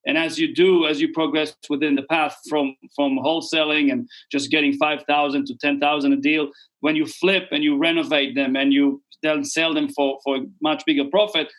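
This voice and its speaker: A man's voice: on the high side, flat delivery, moderate pace, fairly bright tone, poor audio. A middle-aged man with an Israeli accent, explaining things conversationally as an interview guest in a rambling way with repeated words. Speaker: podcast guest, real estate investor.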